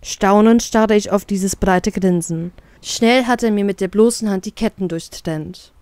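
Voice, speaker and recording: A woman's voice: mid-range voice, unhurried, darkish tone, clean tone, no room sound. A woman narrating a scripted first-person story as an audiobook. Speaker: narrator of a fan fiction story.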